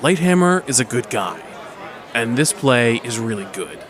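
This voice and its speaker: A man's voice: medium pitch, big pitch swings, unhurried, a crowd in the background, background noise. A man playing a diplomatic, encouraging superhero theatre director in an audio drama. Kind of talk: audio drama dialogue, speech to cast.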